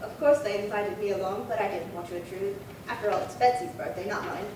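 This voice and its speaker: A woman's voice: fast, recorded from a distance, close to an everyday voice. A woman playing a chatty, proud, easily flustered elderly spinster who rambles as a witness in a 1950s-style radio crime drama. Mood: peevish, proud. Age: older. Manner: rambling, flustered, indignant old-lady talk. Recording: echoey room from afar, noisy rumble.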